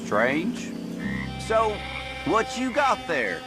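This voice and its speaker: Puzzled guard, high and very swoopy, unhurried, hesitant game acting under loud music. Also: male voice, wildly swooping pitch, exaggerated voice. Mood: puzzled, casual. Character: casual, puzzled male guard on a plantation. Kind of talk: video game dramatic acting.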